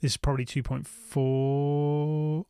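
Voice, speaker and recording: British man with a creaky voice, thinking aloud. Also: on the high side, flat delivery, slow pace, mellow, no room sound, clean studio sound. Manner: thinking aloud, repeats phrases. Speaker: YouTube gear reviewer. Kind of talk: casual unscripted shopping commentary.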